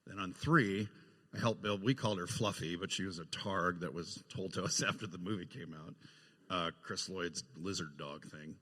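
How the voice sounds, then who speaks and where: A man with a deep but bright, punchy voice shares anecdotes casually as a panel guest. His words ring out in a large echoey hall. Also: moderate pace, slightly rough. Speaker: panel guest, film effects artist.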